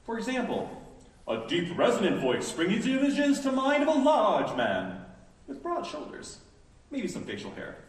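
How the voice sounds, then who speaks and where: A man performing a scripted autobiographical monologue on stage with expressive, dramatic delivery. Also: high-pitched, measured pace. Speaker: writer and solo stage performer. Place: indoor live performance space. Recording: echoey live stage, distant mic, music behind.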